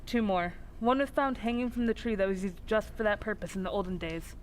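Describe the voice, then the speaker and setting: A woman playing a calm, reassuring, matter-of-fact small-town sheriff in an old-time radio drama. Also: mid-range voice, fairly quick, slight background noise, lightly acted, poor audio.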